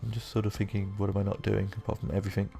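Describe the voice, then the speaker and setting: A young man with a sore, croaky throat, rambling casually through let's-play commentary with lots of fillers. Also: on the low side, monotone, rapid-fire, mellow, soft background music. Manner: rambling, self-deprecating, with many fillers. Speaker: gaming YouTuber.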